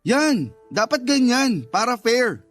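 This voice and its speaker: Aggrieved, stubborn Filipino dad. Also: male voice, very high-pitched, sing-song, takes it slowly, fairly bright tone, exaggerated voice. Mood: settling, still stubborn. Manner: insistent, complaining, conversational. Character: aggrieved, stubborn divorced father standing his ground. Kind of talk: Filipino radio romance drama acting. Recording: music under the voice.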